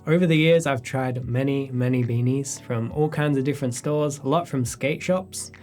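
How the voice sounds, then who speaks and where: A man in his thirties, a photographer, answering viewer questions in a relaxed, reflective sit-down chat in his studio. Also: medium pitch, moderate pace, soft background music. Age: 30s. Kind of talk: casual sit-down Q&A. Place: his studio space, indoors.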